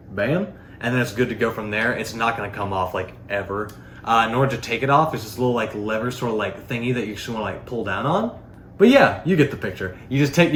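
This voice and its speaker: A man giving a casual, unscripted product demonstration in a rambling, informal way, peppered with filler words. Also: on the low side. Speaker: product reviewer. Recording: echoey room with faint background noise.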